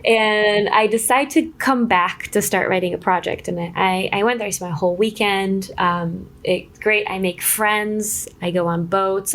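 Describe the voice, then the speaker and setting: Woman, from Israel, medium pitch, casual interview storytelling, chatty, halting, self-interrupting. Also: a bit bright, slight background noise. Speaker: guest, screenwriter.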